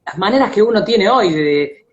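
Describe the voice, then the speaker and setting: Halting Argentine male interviewer, slightly muffled. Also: high-pitched, lively intonation. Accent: Argentine Rioplatense. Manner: halting, searches for words with repetitions. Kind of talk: casual live interview.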